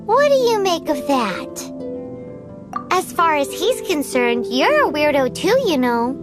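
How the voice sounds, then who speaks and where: A woman voicing a tiny, childlike floating fairy companion in a video game, blunt, excitable and cheeky, with a squeaky voice. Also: unhurried. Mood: puzzled. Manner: refers to self in third person, exclaiming. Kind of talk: video game character acting. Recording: music behind the voice.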